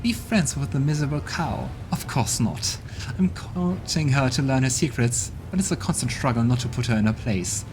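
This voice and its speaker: A man's voice: medium pitch, expressive, moderate pace, close to an everyday voice. A man voicing an arrogant, scornful female demon queen with a contemptuous, scoffing manner, over game music. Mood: haughty.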